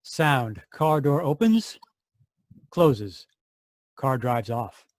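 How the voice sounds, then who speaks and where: A man's voice: mid-range voice, expressive, drawn-out words, no echo, clean studio sound. A man reading out sound-effect cues in short, plain phrases for a radio play. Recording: live video-call performance.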